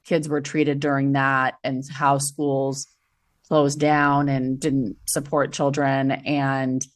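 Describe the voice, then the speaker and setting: A woman's voice: rumbling low, level tone, slow. A woman guest on a podcast, a former teacher, speaking bluntly and emphatically in casual conversation.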